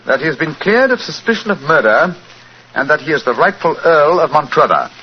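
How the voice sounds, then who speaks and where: An actor in a 1940s radio drama playing a calm, commanding gentleman detective with a British accent and precise, formal speech. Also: on the high side, fairly quick. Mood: courteous, reassuring. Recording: muffled, hissy old radio recording.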